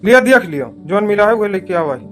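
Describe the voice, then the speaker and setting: A man's voice: high-pitched, expressive, quick pace, fairly bright tone, soft background music. A man voicing a weary, dutiful village son and husband who explains himself plainly in an Awadhi cartoon comedy, with an Awadhi accent. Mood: defensive.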